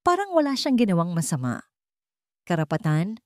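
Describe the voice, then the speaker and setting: Low, mellow woman narrator. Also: lively intonation, moderate pace, no room sound, clean studio sound. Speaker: story narrator. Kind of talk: dramatic scripted narration of a romance story.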